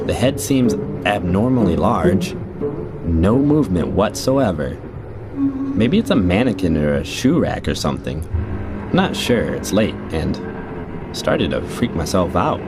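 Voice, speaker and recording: A middle-aged man playing a jaded rideshare driver, narrating a scripted audio drama in a conversational, wry, confiding way. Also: on the low side. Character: jaded male rideshare driver telling creepy stories. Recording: noisy, car and street ambience behind.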